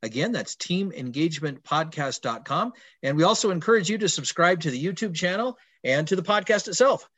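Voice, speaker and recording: A man hosting a podcast, asking questions and warmly affirming his guest's answers. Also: a little high, lively intonation, fairly quick, few pauses. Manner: encouraging, affirming interviewer. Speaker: podcast host.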